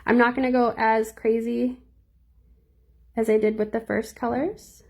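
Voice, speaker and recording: Young woman nail educator, slightly squeaky, a bit gravelly, chatty live-tutorial delivery. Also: little pitch movement, measured pace, darkish tone. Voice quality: a little squeaky. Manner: chatty, reads and answers viewer comments.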